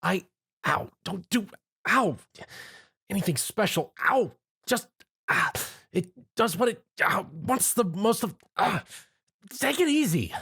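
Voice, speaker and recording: A man's voice: high-pitched, expressive, slow pace, creaky, sharp tone, slightly rough, voice pushed up, exaggerated voice. A man voicing a timid, jumpy young man who talks in a nervous, whiny, stammering way.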